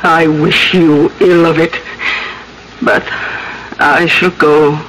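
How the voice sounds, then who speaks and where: A woman's voice: deep voice, little pitch movement, takes it slowly, fairly bright tone. An actress in an old-time radio drama playing a protective, weary older woman who speaks with clipped resentment. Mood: resigned, bitter. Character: defiant, protective, weary older spinster cousin. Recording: noisy old radio broadcast.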